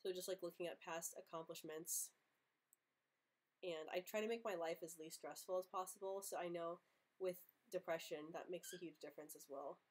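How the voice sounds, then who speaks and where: Young woman host who stutters, open and earnest, slightly low voice, casual live Q&A. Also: fairly even intonation, moderate pace. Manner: stutters often, with repeated sounds and blocks; earnest, open, laughs easily. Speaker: livestream host answering viewer questions.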